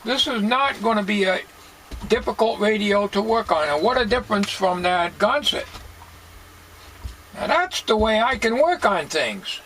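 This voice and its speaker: An older man talking casually as he works at a bench in a home workshop, plain-spoken and musing, with halting delivery. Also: sits high, lively intonation, pushed and bright. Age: 60s or older. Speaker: hobbyist radio restorer. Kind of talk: casual talking while working. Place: cluttered home electronics workshop, indoors. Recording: noisy home workshop recording.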